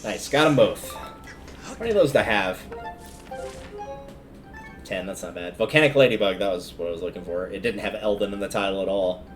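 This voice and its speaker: A man with a fairly low voice talks through a video game on a livestream. He rambles casually and thinks aloud as he plays. Music plays behind his voice. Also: moderate pace, pauses often.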